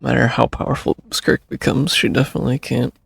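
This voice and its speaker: A man's voice: medium pitch, level tone, fast talker, vocal fry, a bit bright, breathy edge, no echo. A man giving casual, laid-back reaction commentary as a game streamer, musing aloud close to the microphone.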